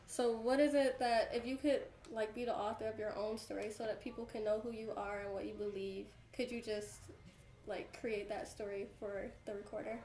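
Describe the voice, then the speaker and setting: A woman's voice: medium pitch, flat delivery, normal speed, a little mellow. A woman interviewer asking questions hesitantly, often rephrasing them as she goes. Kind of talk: oral history interview. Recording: roomy echo.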